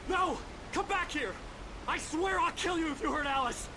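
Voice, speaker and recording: A man's voice: very high-pitched, moderate pace, background noise. An actor playing a defiant, desperate husband hunting for his wife, intense and demanding, in a video game. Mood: furious, desperate.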